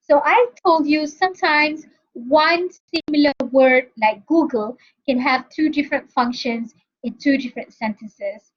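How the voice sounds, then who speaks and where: A woman's voice: high voice, a little slow, few pauses, lo-fi sound. A woman from Malaysia with a Malaysian English accent, teaching English grammar in a recorded lesson. She explains step by step and often checks in with the class by asking "okay?". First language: not English. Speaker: university English lecturer.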